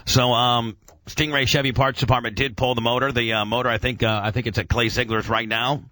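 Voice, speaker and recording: A man with a forceful, bright voice chats casually as a radio host. He rambles with a gearhead's enthusiasm, piling up jargon and the odd mild curse. The sound is very dry and close, like a studio microphone. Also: mid-range voice, little pitch movement, a little fast, no echo. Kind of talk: casual motor-head radio chat.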